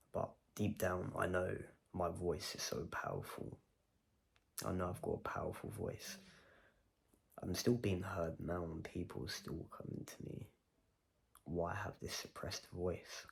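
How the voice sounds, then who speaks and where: A man's voice: deep voice, gravelly. A man vlogging a personal video diary, talking in a reflective, rambling way and questioning himself as he goes.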